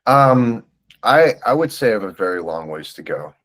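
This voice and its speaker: A man's voice: fairly low pitch, big pitch swings, moderate pace, fairly bright tone. A man in his twenties from the United States talks as a podcast guest, earnest and reflective, with a loose, filler-heavy way of speaking. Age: 20s. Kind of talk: casual interview chat.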